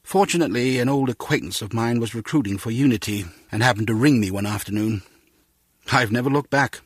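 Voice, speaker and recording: An actor voicing a courteous middle-aged spymaster in a video game, speaking in a measured, formal, gentlemanly way. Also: male voice, medium pitch, husky, dry recording, studio quality, natural voice. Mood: reassuring, reflective. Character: courteous middle-aged British spymaster, encouraging ex-field agent. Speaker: spy agency chief.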